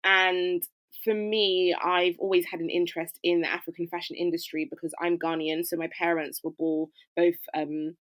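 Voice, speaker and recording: A woman's voice: lowish voice, flat delivery, moderate pace, fairly bright tone, no echo, poor audio. A woman, a fashion educator, answering interview questions in a conversational, reflective way with frequent fillers. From United Kingdom.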